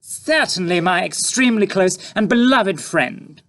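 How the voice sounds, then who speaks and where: A shrill, snobbish storybook mother, put on by a male reader who fawns over guests and snaps at her daughter. The voice sits far above his own and swings wildly. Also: lively intonation, measured pace, a bit bright, heavily acted. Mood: shrill fawning. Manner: shrill, fawning, snappish. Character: snobbish middle-aged woman, social climber.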